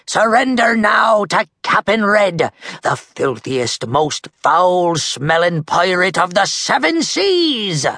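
A woman's voice: on the low side, sing-song, takes it slowly, fairly bright tone, no room sound, studio quality, pitched above the performer's own voice, heavily acted. A woman voicing a blustering, bossy boy pirate captain from a children's storybook, bellowing theatrically in a stage pirate accent. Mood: menacing.

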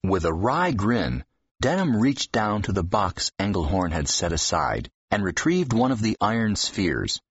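A man's voice: lowish voice, big pitch swings, unhurried, breathy edge, no echo, lo-fi sound. A man reading scripted fiction narration as an audiobook narrator.

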